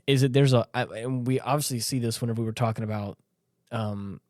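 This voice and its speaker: A man's voice: mid-range voice, fairly quick, a little mellow, dry recording, studio quality. A man hosting a podcast in casual discussion, speaking earnestly and emphatically and repeating himself to stress his points. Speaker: podcast host.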